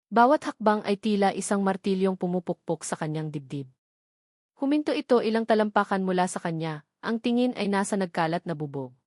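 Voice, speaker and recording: A woman's voice: mid-range voice, a little mellow, no room sound, clean studio sound. A woman reading a scripted, dramatic love story as its narrator.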